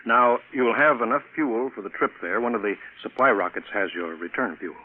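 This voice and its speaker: A man's voice: medium pitch, a little fast, close to an everyday voice. A man playing a matter-of-fact space programme chief in a 1950s radio drama, businesslike and familiar. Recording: noisy, tinny vintage radio broadcast.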